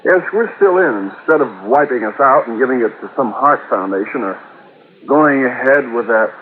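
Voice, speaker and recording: An actor playing a smooth young nephew acting as party host, hearty, glib and talkative, in old-time radio style. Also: male voice, mid-range voice, lively intonation, normal speed, natural voice. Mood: earnest, glib. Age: young adult. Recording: tinny, noisy old radio recording.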